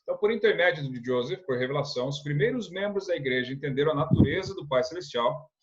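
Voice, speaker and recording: A man's voice: a little high, expressive, quick pace. Man, church lesson, earnest, rhetorical questions, muffled lo-fi sound. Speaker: religious instructor.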